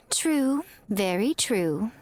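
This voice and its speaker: A woman's voice: on the high side, very animated, very slow, heavily acted. A woman playing an elegant, sly mature lady in a video game, coy and teasing with languid emphasis. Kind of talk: game dialogue acting.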